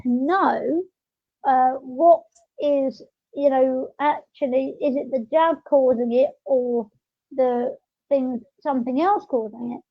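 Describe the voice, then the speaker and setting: High-pitched woman, casual podcast chat. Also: takes it slowly. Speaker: podcast host. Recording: dull lo-fi audio.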